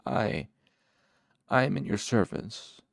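A man voicing an arrogant, cruel henchman cowed by his master in a fantasy story, his soft voice strained and clipped. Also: on the low side, expressive, slow pace, vocal fry, dry recording, studio quality, full character voice. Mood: uneasy, placating. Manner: snappish when proud, gasping and choked when submissive.

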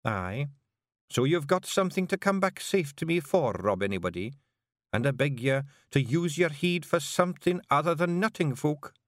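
Man doing a female pixie clan leader, Scottish, sharp, commanding, high lively voice. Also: normal speed, dry recording, clean studio sound, natural voice. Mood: firm. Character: small stern female pixie clan matriarch. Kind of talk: audiobook character voice.

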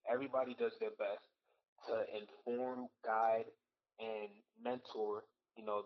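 An earnest, reflective man speaking at an ordinary middle pitch, checking in with his listeners as he works through an idea. The audio is a bit dull and lo-fi, with the top end rolled off like a home podcast setup. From United States. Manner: earnest and reflective, often checks in with "right?" and "you know". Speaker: podcast guest, Marine. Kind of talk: casual podcast conversation.

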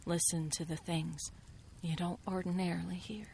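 Radio drama role: a worldly, coolly knowing female mystic, lowish voice, eloquent, deliberate and theatrical. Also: steady tone, vocal fry, a little mellow, slight background noise. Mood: calm, hypnotic.

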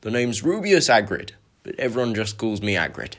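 A man voicing a huge, gruff but friendly giant groundskeeper with a loud, rustic manner of speech. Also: big pitch swings, moderate pace. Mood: hearty. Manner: loud, rustic dialect, rumbling growls when angry.

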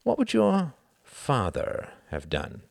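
A man voicing a cool, bored, dry-witted gentleman who speaks languidly. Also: on the high side, wildly swooping pitch, slow, creaky, no echo, pitched above the performer's own voice, full character voice. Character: cool, wry male former professor's assistant.

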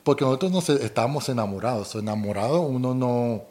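Relaxed Colombian man chatting. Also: medium pitch, fast talker. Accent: Colombian, softened. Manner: relaxed, rambling with restarts and fillers. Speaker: co-host. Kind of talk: casual couple's chat.

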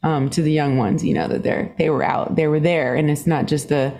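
A woman born in Singapore, a guest musician telling stories in a podcast interview, animated and laughing often, her pitch jumping up as she talks. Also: fairly quick, slight background noise.

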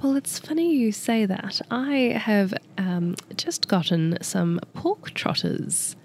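An Australian woman co-hosting a casual food podcast. She has a cold, so she sounds nasal, and she talks in a relaxed way while explaining food facts. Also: mid-range voice, slow pace, a little hiss or hum. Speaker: podcast co-host. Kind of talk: casual chat.

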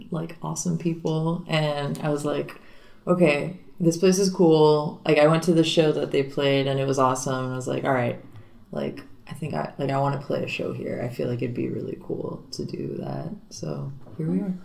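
A woman's voice: deep voice, steady tone, moderate pace, gravelly, darkish tone. A young woman from Miami, a guitarist and singer, chatting casually in an interview with many fillers. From Miami, Florida.

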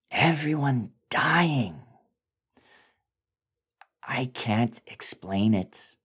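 A man voicing a terrified, injured man who is breaking down, halting and anguished, in a breathy, whispering voice for a horror story reading. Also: mid-range voice, a bit bright, voice pushed up, full character voice. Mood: haunted, shaken. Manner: halting, anguished, drawn-out delivery.

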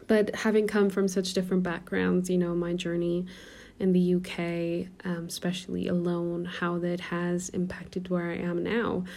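Young woman, American-sounding accent, warm mellow voice, soft chatty podcast talk, phone recording at home. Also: lowish voice. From Germany, raised in Portugal. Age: 20s. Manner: soft, chatty, many ums and little laughs. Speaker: podcast host and coach. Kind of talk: casual solo podcast talk. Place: dark quiet room at home.

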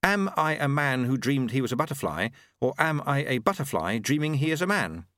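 A man plays a naive storybook male with a raised, lively pitch. He sounds earnest and unsure of himself, often hesitating as he goes. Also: brisk, no echo, clean studio sound. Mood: tentative. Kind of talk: audiobook character acting.

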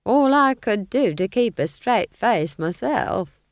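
A cheeky, earthy old witch from a fantasy audiobook, as a female reader plays her. She is blunt and unflappable, with colloquial phrasing and lively intonation over an unhurried pace. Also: medium pitch, no echo, studio quality, pitched above the performer's own voice. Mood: blunt, curious.

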